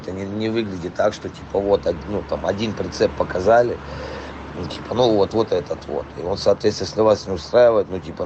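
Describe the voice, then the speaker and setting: A hoarse man rambling profanely in a casual voice message to a client, full of fillers. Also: low voice, darkish tone, lo-fi sound, a little hiss or hum. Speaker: trailer import dealer.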